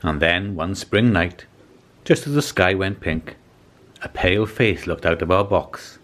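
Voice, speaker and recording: A man reading a children's story aloud as scripted narration, in an expressive storytelling manner. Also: sits low, unhurried. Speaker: storybook reader.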